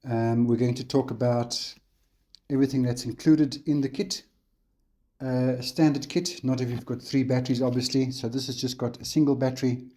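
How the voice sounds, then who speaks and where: A South African man talks through a tutorial on camera at home, unhurried and relaxed, pausing often on ums and uhs. His voice is dark and mellow at a middling pitch. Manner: relaxed, unhurried, frequent fillers. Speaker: RC hobby channel host. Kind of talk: casual tutorial walkthrough on camera.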